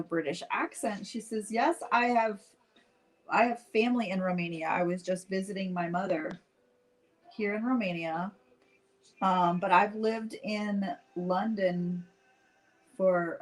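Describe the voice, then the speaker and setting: A middle-aged woman chatting casually to livestream viewers as she tells a personal story, acting out bits of dialogue in an animated, informal way. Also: mid-range voice, rough recording. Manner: chatty, animated retelling with acted-out dialogue and frequent "like"; addresses viewers directly. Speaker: host painting live while telling stories.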